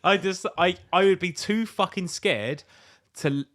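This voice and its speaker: A man's voice: sits high, measured pace, fairly bright tone, no room sound, clean studio sound. A British man co-hosting a podcast in casual comic chat, ranting and swearing in exasperation. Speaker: podcast co-host.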